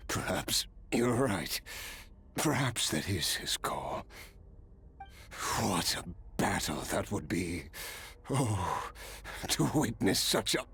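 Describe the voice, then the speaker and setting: A man playing a weary, cursed villain in a video game, courteous and philosophical, speaking slowly and formally in a raspy, breathy voice. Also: big pitch swings, fairly bright tone, full character voice. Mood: awed, wistful. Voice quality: raspy, breathy, gravelly low voice. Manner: slow, deliberate, formal, theatrical. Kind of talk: dramatic video game acting.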